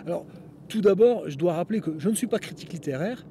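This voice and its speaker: A man's voice: high-pitched, animated, fast talker, mellow, a little hiss or hum. A man hosting a solo podcast outdoors, talking casually and rambling with many fillers. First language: French. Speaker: podcast host.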